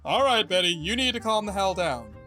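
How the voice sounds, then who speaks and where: A woman's voice: mid-range voice, sing-song, a little fast, music in the background, close to an everyday voice. A woman playing a blunt, no-nonsense crewmate who speaks firmly in a sci-fi audio drama. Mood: stern. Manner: firm, blunt.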